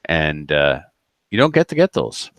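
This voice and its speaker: A man with a deep, smooth voice chats casually as a podcast co-host. He jokes and rambles, letting sentences trail off. The sound is dry, with hardly any room to it. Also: very animated, measured pace, no echo. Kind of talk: casual banter.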